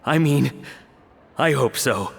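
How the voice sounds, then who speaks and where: Husky, earnest, anxious chef. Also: male voice, mid-range voice, animated, takes it slowly, big-room sound, exaggerated voice. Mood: earnest, apologetic. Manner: apologetic, eager, faltering. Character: earnest, anxious, recovering male chef, humble father. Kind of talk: anime-style video game voice acting.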